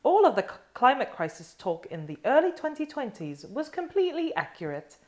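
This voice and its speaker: Woman playing a lively-pitched automated alert assistant. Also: fairly quick. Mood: matter-of-fact warning. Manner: formal, announcing. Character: matter-of-fact automated phone alert assistant. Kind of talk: actor table read of a sci-fi script. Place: small living room, seated on sofas.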